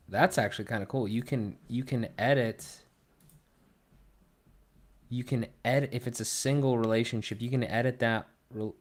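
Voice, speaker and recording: A young man from Pittsburgh hosting a casual livestream, thinking aloud and trailing off into low mumbles. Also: darkish tone. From Pittsburgh, Pennsylvania. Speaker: livestream host. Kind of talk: casual live co-stream chat. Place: small room at a desk.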